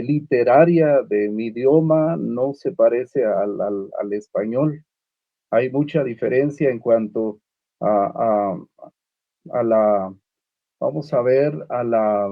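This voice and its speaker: A man from Guatemala whose first language is Uspanteko, speaking on a literary panel with many pauses, fillers and restarts. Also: mid-range voice, no room sound, phone-quality sound. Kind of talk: unscripted panel talk.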